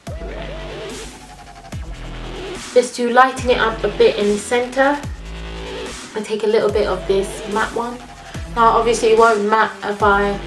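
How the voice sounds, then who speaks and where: A woman vlogger talking casually through her makeup routine, sounding nasal from a cold. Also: medium pitch. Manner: chatty, talks while applying makeup. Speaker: beauty vlogger. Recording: echoey room with music behind.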